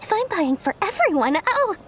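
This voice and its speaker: A woman's voice: extremely high pitch, sing-song, normal speed, heavy background noise. A woman voicing a small, brash, money-minded merchant girl in an anime-style video game, self-important and theatrical. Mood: grumbling, bossy.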